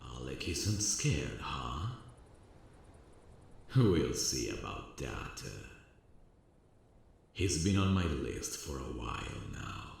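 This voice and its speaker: A man voicing a soft-spoken male serial killer in an ASMR audio drama, calm and quietly menacing, often close to a whisper. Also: extremely slow, long pauses, slight background noise, full character voice. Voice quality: gravelly, husky low voice. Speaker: voice actor.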